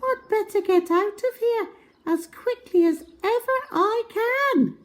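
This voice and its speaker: A woman acts out a hasty little storybook girl with a very high voice. She is eager and bossy, gushing in a pushy way, and her pitch swoops about. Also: lively intonation, slow, exaggerated voice. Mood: urgent. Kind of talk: children's storybook character voice.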